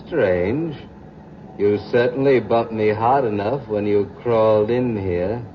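A vengeful male ghost from an old radio play. He speaks extremely slowly and deliberately, taunting his rival. The recording is muffled, with some hiss behind him. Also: fairly low pitch. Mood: coolly taunting. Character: vengeful dead man returned to torment his rival. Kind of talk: 1940s radio acting.

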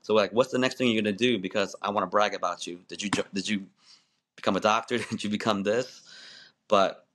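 Man, forties, low voice, podcast guest, rambling storytelling, frequent restarts. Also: fairly even intonation, fairly quick, slight background noise. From born in Malaysia, raised in the United States. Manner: rambling, many restarts and "you know"s, laughs easily. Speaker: wrestling referee, podcast guest. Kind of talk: casual interview storytelling.

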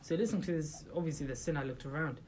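A speaker leads an informal religious talk for a small group gathered in a room. The voice sits at a middle pitch, with rhetorical questions to the listeners and frequent hesitant restarts. Faint background noise runs under the recording. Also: fast talker, darkish tone.

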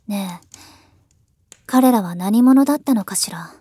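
A woman's voice: medium pitch, dark tone, breathy edge. A woman playing a world-weary, ironic elder sister in a voice drama, musing wistfully. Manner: slow, wistful, rhetorical musing. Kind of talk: Japanese voice drama acting.

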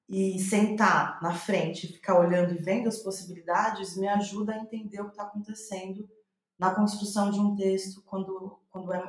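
A Brazilian woman giving reflective interview answers as a playwright, thinking aloud and searching for her words. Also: medium pitch. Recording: roomy, echoing sound.

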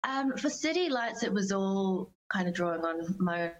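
A woman's voice: medium pitch, animated, normal speed, low-quality recording. A young woman answering interview questions as a singer-songwriter, speaking conversationally in a reflective, earnest way. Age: young adult.

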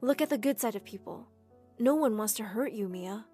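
A woman voicing a caring young man who speaks softly and reassures. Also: fairly high pitch, animated, normal speed, husky, soft background music. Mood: reassuring. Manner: gentle and reassuring, firm when angry. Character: caring, protective young boyfriend.

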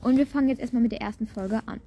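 High-voiced young woman in casual podcast chat. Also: very fast, vocal fry, warm tone. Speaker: podcast co-host. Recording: home recording with faint background noise.

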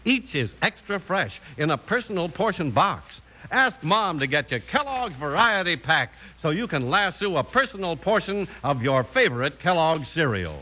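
An American man announcing and reading advertising in 1950s radio style, with a polished, emphatic pitchman delivery. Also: very animated, moderate pace, hardly any pauses, sharp tone. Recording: old radio transcription with music.

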